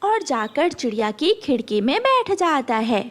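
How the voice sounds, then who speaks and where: A woman's voice: sits high, very animated, unhurried, background noise. A woman reading a scripted children's cartoon story as narrator.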